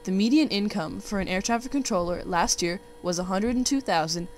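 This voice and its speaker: A woman's voice: medium pitch, fairly quick. A young woman, a student narrator, formally reading out facts from a documentary script. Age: young adult. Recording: faint background hum and noise.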